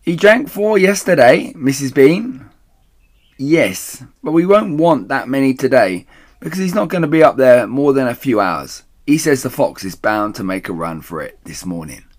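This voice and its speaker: A man voicing a bossy, impatient farmer's wife in a children's storybook, scolding and shouting shrilly. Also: mid-range voice, animated, moderate pace, voice pushed up, natural voice. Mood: brisk, bossy.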